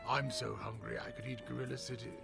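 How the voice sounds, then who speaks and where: A man doing a very gravelly gorilla villain. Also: mid-range voice, flat delivery, fast, loud music behind the voice. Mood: grumbling. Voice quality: gravelly, creaky. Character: grumbling, hungry gorilla supervillain.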